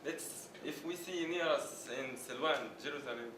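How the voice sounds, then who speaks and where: A man's voice: fairly high pitch, normal speed. A man speaks up from the audience in broken English, confrontational and insistent. He is picked up from a distance in an echoey, noisy room. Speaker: debate participant.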